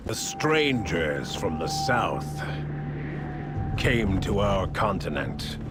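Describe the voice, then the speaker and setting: Grim war-weary leader. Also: male voice, deep voice, big pitch swings, loud music behind the voice, exaggerated voice. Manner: solemn and measured. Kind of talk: video game cutscene acting. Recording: loud orchestral game score behind.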